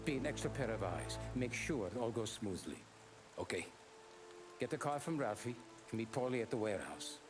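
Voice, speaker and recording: A man playing a measured, commanding mob boss. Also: mid-range voice, expressive, natural voice. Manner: measured, giving orders. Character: calm, commanding male mob lieutenant. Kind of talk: video game cutscene acting. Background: score music.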